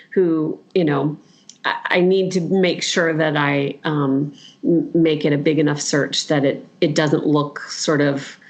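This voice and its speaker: A woman's voice: deep voice, dull audio. A middle-aged woman speaking as a podcast guest, thoughtful and hesitant, with many fillers and restarts. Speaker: guest, language program director. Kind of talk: casual conversation.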